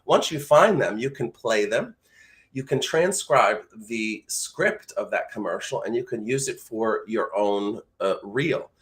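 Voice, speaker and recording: A man's voice: on the low side, lively intonation, unhurried, a bit bright. A man speaking as a coach and host, giving informal, explanatory instruction in a conversational way. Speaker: voice-over coach and live show host.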